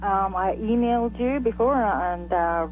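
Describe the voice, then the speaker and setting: A man's voice: sits high, a little slow. A man from Canada calling in by phone, speaking casually and hesitantly. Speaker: caller, old-time radio fan. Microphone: telephone. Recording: tinny phone line with hum and faint noise.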